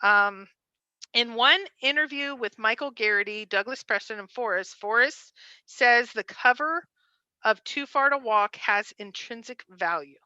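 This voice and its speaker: Bright-voiced woman chatting on a livestream. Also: a little high, measured pace, smooth, no room sound, dull audio. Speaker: livestream co-host. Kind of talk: casual livestream commentary, reading viewer comments.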